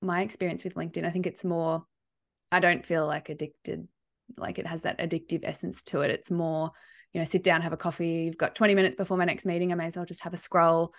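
A woman hosts a relaxed podcast conversation. Her voice sits low with a gravelly edge to it. She agrees readily and laughs often as she talks. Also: fast talker. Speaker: podcast host. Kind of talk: casual interview chat.

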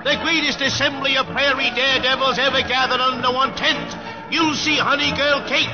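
An actor playing a bombastic Wild West show barker in an old radio drama, declaiming a grandiose spiel. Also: male voice, very high voice, level tone, normal speed, over music. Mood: bombastic, excited. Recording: dull old radio recording.

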